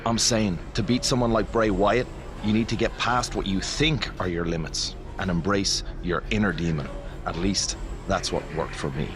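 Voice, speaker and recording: Supportive wrestler with a dark streak man, serious game cutscene delivery, lowish voice over clear music. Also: fairly even intonation, natural voice. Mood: serious, urging. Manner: serious, deliberate. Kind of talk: video game cutscene acting.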